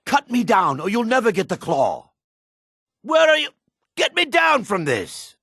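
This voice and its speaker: An actor playing a jaded, sardonic male dark elf in a fantasy video game, with a husky voice. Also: high voice, huge pitch swings, measured pace, dry recording, studio quality, heavily acted. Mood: frantic, pleading. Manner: sardonic, disdainful. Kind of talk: video game character voice acting.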